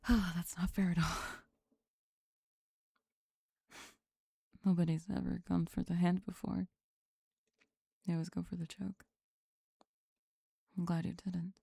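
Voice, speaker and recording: A woman voicing a sulky young goth bully who is flustered and secretly lovestruck, halting and sighing in an ASMR roleplay. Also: lowish voice, little pitch movement, vocal fry, warm tone, breathy edge, no room sound, pitched below the performer's own voice, exaggerated voice. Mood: softened. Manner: halting, sighing, grudgingly tender and defensive. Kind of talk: ASMR roleplay drama, spoken to the listener.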